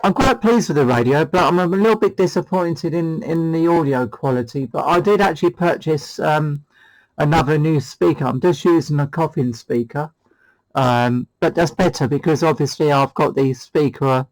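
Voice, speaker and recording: A man's voice: fairly high pitch, normal speed, rough recording. A British man hosting a CB radio demo, chatting casually in a hesitant, rambling way. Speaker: CB radio hobbyist and channel host.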